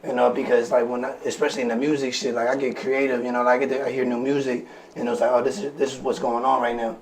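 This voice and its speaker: A man with a worn, raspy voice chatting casually and talkatively in slang as a podcast host. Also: mid-range voice, little pitch movement, fast, crowd noise, poor audio. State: voice worn from smoking and studio work. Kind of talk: casual chat.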